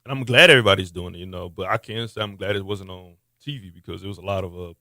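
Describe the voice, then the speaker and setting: A young man from Texas hosting a podcast, talking loosely and eagerly, often getting loud. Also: deep voice, fast talker, no room sound, studio quality. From Missouri City, Texas. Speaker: podcast host. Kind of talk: casual interview talk. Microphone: podcast studio mic.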